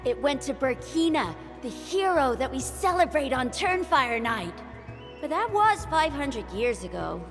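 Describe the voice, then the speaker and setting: Earnest, bossy small child for a game: assertive, animated intonation, unhurried pace. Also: female voice, sits high, big-room sound, faint music behind, exaggerated voice. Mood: impatient. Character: bossy, hero-worshipping young child. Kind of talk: video game voice acting.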